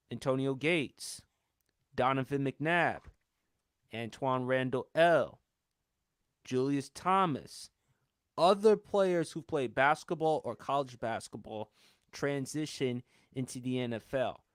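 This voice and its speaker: Man, 30, podcast host. Casual, opinionated, mid-pitched, dry close sound. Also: fairly bright tone, clear, resonant tone, no room sound. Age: 30s. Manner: opinionated, lays out arguments step by step. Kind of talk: casual sports podcast talk.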